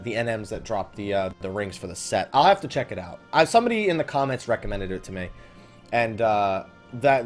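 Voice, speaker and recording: A man's voice: lowish voice, a little mellow. A man giving casual, rambling let's play commentary, informal and often correcting himself as he drifts into side thoughts. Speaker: gaming video host.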